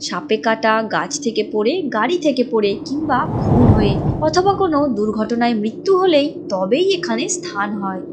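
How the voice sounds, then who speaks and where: A woman's voice: on the high side, lively intonation, normal speed, noisy background. A woman reading scripted storytelling narration for a cartoon. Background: clear background music.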